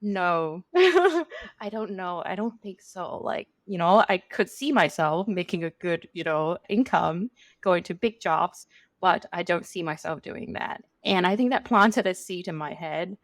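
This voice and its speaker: Woman from Hong Kong, low voice, gravelly, dry close sound, chatty interview guest. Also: lively intonation, unhurried, no echo. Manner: chatty, many fillers like "you know". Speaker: venture capital partner, guest. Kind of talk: casual interview answers.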